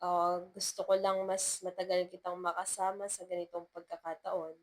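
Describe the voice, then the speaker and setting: A woman storyteller voicing a sincere young male teacher in love, gentle and hesitant. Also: lowish voice, flat delivery, normal speed, lightly acted. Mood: tender.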